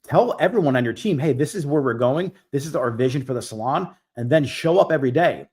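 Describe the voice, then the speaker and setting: A man's voice: mid-range voice, lively intonation, a little fast, darkish tone, breathy edge. A man in his forties hosting a live stream Q&A, giving business coaching in a direct, emphatic way that repeats key points. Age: 40s. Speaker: host.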